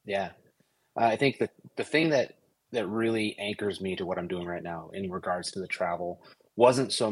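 Low husky-voiced man. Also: rough recording. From United States, raised in Papua New Guinea. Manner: relaxed, thoughtful, with frequent fillers and restarts. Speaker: podcast guest, commercial pilot. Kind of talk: casual conversational interview.

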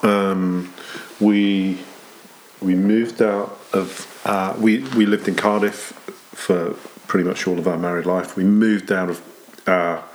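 A middle-aged Englishman with an English accent, relaxed in an interview chat. His voice is very deep, with faint noise in the background. He takes his time and laughs easily. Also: low-quality recording. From England. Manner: easygoing, laughs often, unhurried. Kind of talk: casual conversational interview.

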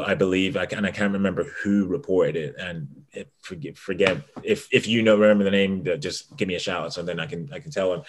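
A man co-hosting a casual sports podcast, rambling with frequent restarts and easy laughs. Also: low voice. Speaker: podcast co-host.

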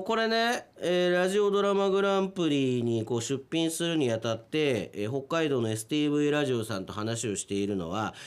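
A radio host with a mid-range voice runs a casual chat with guests. The delivery is extremely animated, with big pitch swings. The host teases and laughs easily. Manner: teasing, laughs often. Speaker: host and director of a radio drama project. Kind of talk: casual post-recording talk with guests, interviewing.

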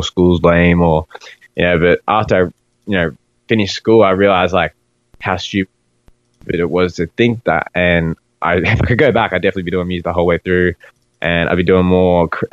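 A man's voice: low voice, dry recording. An Australian man in his twenties, a musician chatting casually as a podcast guest, on slightly lo-fi audio. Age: 20s. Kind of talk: casual chat.

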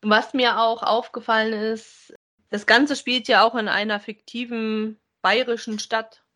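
A woman's voice: fairly high pitch, flat delivery, a little slow, sharp tone. A woman co-hosting a podcast, talking casually and conversationally as she reviews audio dramas with a partner. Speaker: podcast co-host.

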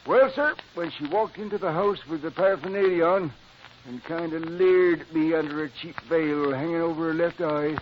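Man doing old rural american codger, high voice, folksy rambling, old radio comedy, muffled n a bit hissy. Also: normal speed, lightly acted. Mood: indignant reminiscing. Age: older. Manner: folksy, rambling, yep-repeating. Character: folksy, henpecked old country neighbor man. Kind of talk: old-time radio sitcom acting.

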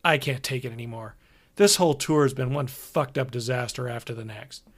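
A man's voice: medium pitch, a little fast, lightly acted. A man voicing a weary, morose young rock musician. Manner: morose, fed up.

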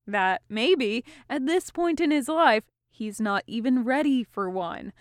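A woman's voice: a little high, expressive, unhurried, no room sound, clean studio sound. A woman voicing a wry, worldly male dwarf who gives patient, knowing advice in a fantasy story. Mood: earnest advice.

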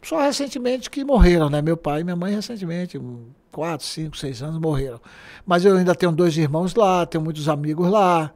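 A man's voice: a little high, a little fast. A man, a guest telling stories in a casual, joking way and laughing often. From Bahia, Brazil. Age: 60s. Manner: animated, jokey, laughs often. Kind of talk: casual storytelling interview.

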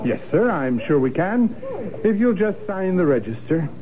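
An actor playing a courteous, obliging hotel desk clerk in a radio drama, with lilting service patter. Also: male voice, high voice, big pitch swings, moderate pace, crowd noise. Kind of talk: old-time radio drama acting. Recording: tinny old radio recording.

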